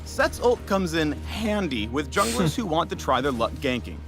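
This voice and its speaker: A man's voice: a little high, very animated, moderate pace, fairly bright tone. A man narrating a game champion spotlight in a playful, punning, upbeat scripted style. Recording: narration over game music. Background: music.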